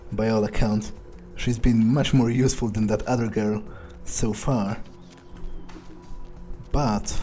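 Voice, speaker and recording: Man playing a mellow-voiced, aloof vampire. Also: mid-range voice, close to an everyday voice. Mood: aloof, musing. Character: cold, aloof male vampire, darkly dismissive. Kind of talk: in-character video game playthrough monologue.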